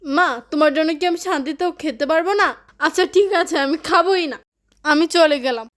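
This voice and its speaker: A woman's voice: sits high, fairly quick, a bit bright. A woman voicing a headstrong, dramatic teenage daughter in a cartoon, with a whiny, theatrical delivery. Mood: defiant, huffy.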